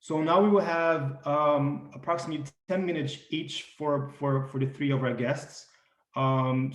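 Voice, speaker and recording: A man moderating a panel discussion, introducing guests with frequent ums and restarts. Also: mid-range voice. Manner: hesitant, many ums and restarts. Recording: echoey room.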